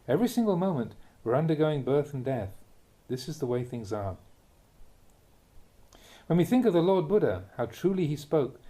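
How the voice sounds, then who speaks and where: A man reading a Buddhist teaching aloud in measured, deliberate short phrases, in the manner of a spoken audiobook. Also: fairly high pitch, mellow. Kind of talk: read-aloud dharma talk, audiobook narration.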